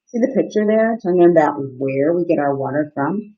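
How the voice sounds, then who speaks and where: A woman in her thirties reading aloud to children as an educator, pausing to ask questions and explain in simple, encouraging terms. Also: low-pitched, lively intonation, breathy edge, muffled recording. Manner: simple, interactive, encouraging teacher talk. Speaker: outdoor educator hosting a children's story time. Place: small indoor room. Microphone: webcam at desk distance, live video stream.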